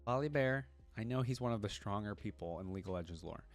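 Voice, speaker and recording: A man's voice: lowish voice, animated, fast talker, faint music behind. A man giving casual reaction commentary as a video host, thinking aloud in informal, slangy language.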